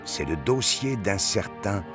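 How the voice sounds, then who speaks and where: A man's voice: lowish voice, expressive, slow, music in the background. A man reading scripted narration for a science fiction audio drama.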